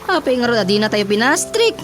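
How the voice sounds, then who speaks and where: A woman's voice: on the high side, very animated, fairly quick. A woman playing a bossy, agitating village ringleader in a radio comedy drama. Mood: indignant. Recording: music bed under the voice.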